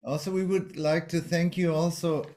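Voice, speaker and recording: A man hosting a casual conversational interview, halting as he searches for words, in an echoey-sounding recording. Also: fairly high pitch, level tone, mellow. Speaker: host.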